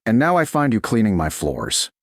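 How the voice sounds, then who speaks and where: A man's voice: fairly low pitch, huge pitch swings, moderate pace, no echo, studio quality, exaggerated voice. A man voicing a stern, commanding duke in an audiobook romance, wounded but controlled, speaking in clipped and certain phrases.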